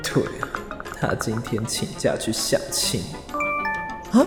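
A man's voice: on the low side, slow, over music. An actor playing a cute, muddled young male dentist in a radio drama, boyish and stammering when flustered, with a Taiwanese Mandarin accent. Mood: hurried. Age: young adult.

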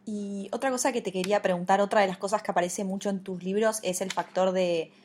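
A woman interviewer, her voice pitched in the middle range. She builds long questions slowly, hesitating and circling back as she goes. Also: fairly even intonation, a little mellow, slight background noise. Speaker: radio interviewer.

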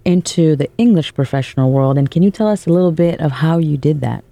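A woman's voice: deep voice, brisk, mellow, no room sound. A woman hosting a talk show, welcoming listeners and guiding the guest with friendly questions and frequent affirmations. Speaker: host.

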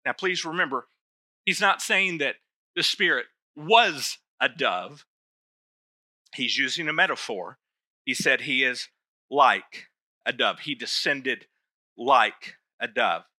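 A man's voice: mid-range voice, animated, slow, long pauses, bright, forceful tone, dry recording, clean studio sound. A middle-aged man preaching a sermon to his church, talking in a loose, conversational way with self-deprecating asides. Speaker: pastor.

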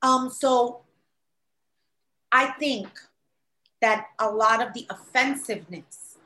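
A woman's voice: fairly high pitch, takes it slowly. A woman from New York City with a Puerto Rican-tinged New York accent, talking casually and acting out quoted voices. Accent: New York Puerto Rican-influenced. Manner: animated, acts out quoted voices. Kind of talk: casual conversation. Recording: echoey room.

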